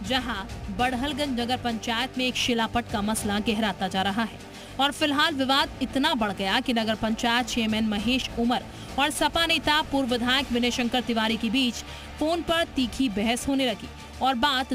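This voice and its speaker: A woman presenting scripted television news narration with a dramatic, emphatic delivery. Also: fairly high pitch.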